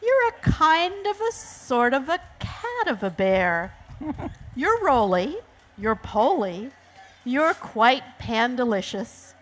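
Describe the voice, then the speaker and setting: A woman's voice: high voice, very animated, slow pace, fairly bright tone, exaggerated voice, low-quality recording. A woman voicing a fond, playful father panda from a storybook, cooing as the character. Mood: delighted.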